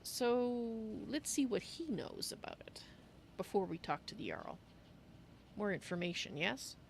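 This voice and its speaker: A woman's voice: medium pitch, very animated, measured pace, vocal fry. Woman voicing a courteous cat-folk mage, faint noise. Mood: curious. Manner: speaks of herself in third person. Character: female Khajiit cat-folk archmage, courteous, third-person speech. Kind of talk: in-character game roleplay on a livestream. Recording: faint noise and game ambience.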